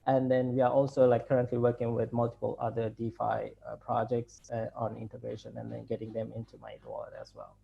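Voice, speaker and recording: A man's voice: medium pitch, completely flat delivery, fast, gravelly, hardly any pauses. A man in his thirties with a Sri Lankan accent, a company chief talking through a video-call interview from his home, rambling with many fillers and restarts. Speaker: company CEO guest. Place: home room indoors. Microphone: laptop video call. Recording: dull lo-fi video call audio.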